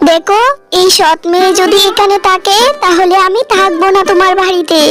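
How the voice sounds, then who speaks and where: A woman's voice: very high voice, fairly even intonation, normal speed. A woman voicing a greedy, scheming pregnant stepmother who talks in a haughty, demanding way in a cartoon. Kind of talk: cartoon voice acting. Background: loud music.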